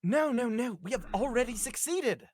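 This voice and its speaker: A woman's voice: on the high side, expressive, moderate pace, a little mellow, a little hiss or hum. A woman chatting and reading a part at a live table read, joking and theatrical in manner. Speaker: cast reader at a live streamed table read. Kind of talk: casual chat and playful script reading.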